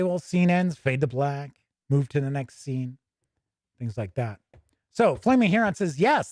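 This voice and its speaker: A man with a slightly high voice hosts a casual hobby livestream. He talks informally, thinking aloud as he browses and chats to viewers. The sound is dry, with very little room in it. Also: darkish tone, no room sound. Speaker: host of a tabletop gaming news and review show. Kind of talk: casual livestream walk-through of a game book, chatting with viewers.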